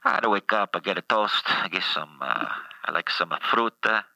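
A man's voice: animated, normal speed, vocal fry, sharp tone, no echo, exaggerated voice, low-quality recording. A man improvising a goofy mock Pope with a put-on Italian-Spanish accent, rambling in broken grammar. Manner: broken grammar, rambling, sudden high squeaky beeps. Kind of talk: improvised comedy bit.

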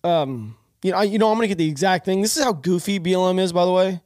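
A high-voiced, nasal man rattles along quickly as he hosts his podcast, stuffed up from allergies. He talks in a rambling, opinionated way, stumbling and restarting his sentences. The recording is close and dry. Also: darkish tone, no echo. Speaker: podcast host. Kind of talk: casual political commentary.

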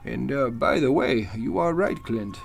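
A man's voice: mid-range voice, wildly swooping pitch, unhurried, vocal fry, slight background noise, heavily acted. A man playing a courteous, slightly forgetful elderly judge in a radio drama, speaking in a hesitant, courtly way. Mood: genial, courtly. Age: older. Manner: hesitant, courtly, chuckling speech. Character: genial elderly judge, courteous, mildly forgetful old gentleman. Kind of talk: golden-age radio drama acting.

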